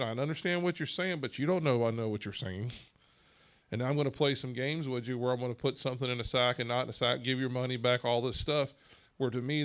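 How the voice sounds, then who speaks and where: A man leading a church Bible discussion in an earnest, measured way, asking rhetorical questions. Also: medium pitch.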